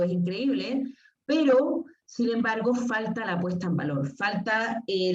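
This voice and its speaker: Woman lecturing, distant echoey mic. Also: medium pitch. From southern Spain. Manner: storytelling, addresses audience directly with questions. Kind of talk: academic talk with slides. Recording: echoey, distant, dull lo-fi sound.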